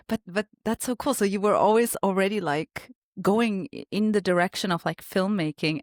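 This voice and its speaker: A woman's voice: lowish voice, no echo, clean studio sound. A woman from Germany hosting a podcast, chatting casually and filling her talk with "like" and "you know". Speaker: podcast host. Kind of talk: casual interview conversation.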